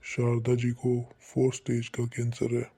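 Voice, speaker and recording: An actor playing a doctor who calmly and gravely gives a family terrible news. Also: male voice, mid-range voice. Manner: grave, measured, consoling. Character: calm hospital doctor delivering bad news. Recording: faint music behind.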